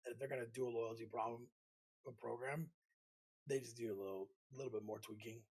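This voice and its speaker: A man's voice: medium pitch, steady tone, brisk, a little mellow, slightly rough, rough recording. A man co-hosting a podcast, chatting informally and giving his opinions on games. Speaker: podcast co-host. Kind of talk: casual chat about games.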